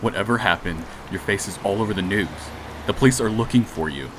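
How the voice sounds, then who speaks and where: A man's voice: lowish voice, a little fast, natural voice. A man playing a loyal, protective and remorseful young ex-boyfriend in radio drama dialogue. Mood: concerned. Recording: clear background noise.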